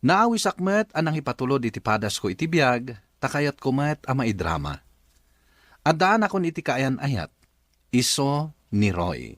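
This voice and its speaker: Animated Filipino male radio drama host. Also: mid-range voice, moderate pace, no room sound, studio quality. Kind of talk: scripted radio narration and letter reading.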